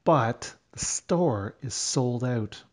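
A man reads a children's storybook as its narrator. His pace is slow and deliberate, and his mid-pitched voice moves expressively up and down. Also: expressive, darkish tone. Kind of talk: children's read-aloud narration.

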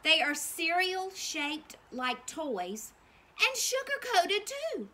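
A woman voicing a pompous storybook wise man who explains nonsense in a drawn-out, knowing way. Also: extremely high pitch, big pitch swings, bright, forceful tone, voice pushed up, exaggerated voice.

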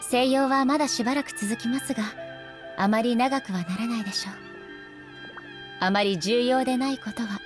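A woman playing a composed, solemn ruler deity in a video game, speaking formally and with measured politeness. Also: fairly high pitch, close to an everyday voice. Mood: reserved, polite. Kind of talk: video game dialogue acting. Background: game background music.

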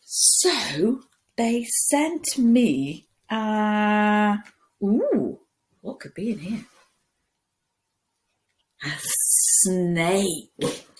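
A woman in her forties reads a picture book to young children as a teacher would, expressive and playful, with dramatic pauses and hushed asides, recorded in a room at home. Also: mid-range voice. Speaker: primary school teacher reading a picture book. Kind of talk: animated children's story reading. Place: small room at home, indoors.

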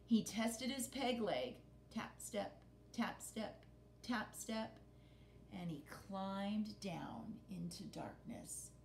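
A middle-aged woman reading a picture book aloud to children in an expressive, dramatic storytelling manner. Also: vocal fry. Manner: dramatic, with pauses and gasps for effect. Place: living room by a brick fireplace, indoors. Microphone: camera a short distance away. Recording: roomy home recording with rumbling noise.

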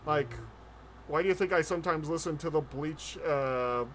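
A man's voice: fairly high pitch, moderate pace, fairly bright tone, a little hiss or hum. A man doing casual streamer commentary as he reacts to a video, close on the mic. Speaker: streamer, VTuber host. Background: trailer music and sounds.

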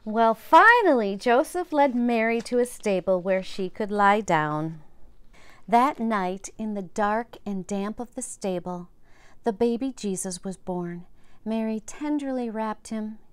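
A woman in her fifties reading a story aloud to young children and gently explaining it in simple words. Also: medium pitch, expressive, a little slow, clear, resonant tone, no room sound. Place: indoors, home room with bookshelves. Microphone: lapel mic.

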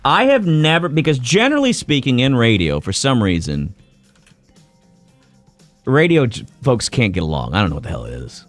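Deadpan middle-aged male radio host. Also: medium pitch, normal speed, faint music behind. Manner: deadpan teasing. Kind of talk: morning radio banter. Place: radio studio. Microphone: studio mic.

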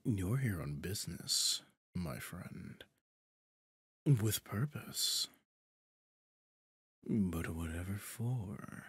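A man playing a smug, possessive devil in a roleplay audio drama, suave and pompous, with a breathy, husky delivery that often drops toward a whisper. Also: sits low, lively intonation, fairly bright tone, dry recording, exaggerated voice. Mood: condescending. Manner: theatrical, silky, pompous, drawn-out phrasing. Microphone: close, dry studio microphone.

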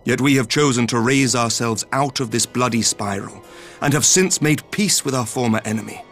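A man playing a noble young elven knight-statesman in a game cutscene, speaking formally with earnest, measured courtesy. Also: medium pitch, fairly bright tone, faint music behind, lightly acted. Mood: solemn, earnest.

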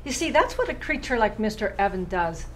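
A woman's voice: mid-range voice, sing-song, brisk, a little hiss or hum, natural voice. A woman performing a dramatic stage monologue as an anxious, righteous schoolteacher who keeps house for her family, earnest and fretful. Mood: indignant. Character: anxious, dutiful, righteous Irish schoolteacher sister keeping house.

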